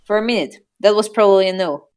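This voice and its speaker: A woman's voice: medium pitch, fast, darkish tone, clean tone. A woman reading fan fiction aloud in a casual, informal way, slipping into giggly banter as co-host.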